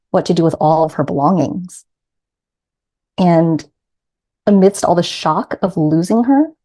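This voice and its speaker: Woman, New York accent, middle-aged, low pitch, warm mellow tone, earnest, reflective. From New York City. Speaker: grief coach guest. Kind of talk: reflective personal storytelling.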